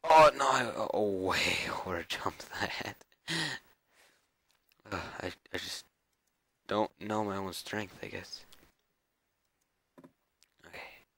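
A young man with a cold and a raspy, scratchy voice, mumbling casual commentary to himself while playing a game. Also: low voice, animated, slow, long pauses, fairly bright tone, no room sound. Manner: mumbling, self-talk with frequent fillers and repeats. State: hoarse with a cold. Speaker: gameplay video creator.

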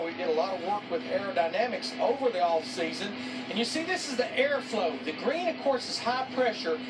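A man's voice: high voice, poor audio. A man giving an explanatory technical breakdown of race car aerodynamics on television. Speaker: technical analyst.

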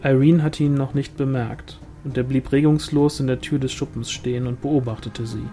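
A man's voice: medium pitch, fairly even intonation, normal speed, warm tone, faint music behind. A man reading a fantasy novel aloud as scripted literary narration. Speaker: audiobook reader.

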